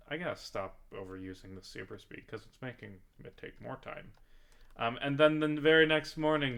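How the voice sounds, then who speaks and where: A man's voice: mid-range voice, a bit bright. An American man chatting casually over gameplay in a rambling, comically exasperated way, swearing now and then. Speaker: streamer recording a gaming vlog.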